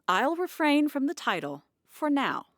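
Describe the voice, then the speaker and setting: Frank, practical radio bookkeeper, dry sound. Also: female voice, sits high, sing-song, unhurried, a bit bright, dry recording, exaggerated voice. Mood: supportive. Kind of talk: naturalistic audio drama dialogue.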